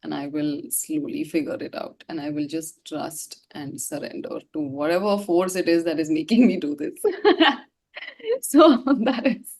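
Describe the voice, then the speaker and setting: Low-pitched woman, candid and reflective. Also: measured pace, a little mellow. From India. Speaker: musician being interviewed. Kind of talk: personal storytelling in an interview.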